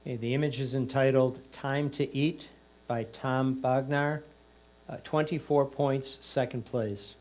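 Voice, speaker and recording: A man with a middling pitch works as score announcer. He reads out titles, names and points in a plain, formulaic pattern. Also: fairly even intonation, unhurried, a little mellow, low-quality recording.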